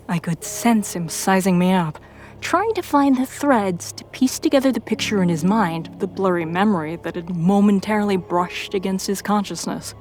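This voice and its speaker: A woman reading a first-person story as a dramatic narrator, with intense pauses and hushed, breathy phrases. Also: medium pitch. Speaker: narrator of a fan fiction audio story.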